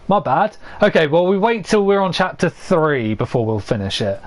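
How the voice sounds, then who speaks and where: A young man giving rambling, casual commentary to his chat as he plays, laughing often at his own jokes and a little hoarse from losing his voice. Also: a little high, very animated, normal speed. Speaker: streamer.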